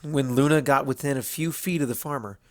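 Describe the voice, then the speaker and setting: A man reading scripted fan fiction as narrator, telling the story in an expressive way. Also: medium pitch, fairly quick, no room sound, studio quality.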